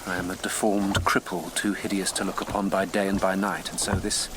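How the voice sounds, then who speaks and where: A man's voice: sits low, level tone, fairly quick, natural voice. An actor playing a grave, guarded statesman, speaking formally in a period radio drama. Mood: ominous. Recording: noisy water ambience behind.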